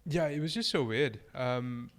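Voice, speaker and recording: Young kiwi guy, relaxed podcast chat, says kinda a lot, midrange voice. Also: mid-range voice, a little slow. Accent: New Zealand. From New Zealand.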